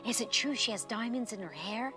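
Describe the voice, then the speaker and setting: A woman's voice: fairly high pitch, fairly quick, fairly bright tone, faint music behind, natural voice. A woman voicing an eager, loyal young girl in a storybook, with an insistent way of talking.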